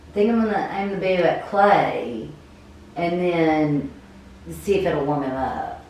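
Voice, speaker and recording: A woman's voice: fairly low pitch, lively intonation, measured pace, a little mellow. A woman doing a cold read of a story game aloud, stumbling over words and adding casual comments. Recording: very echoey room, distant mic, faint noise.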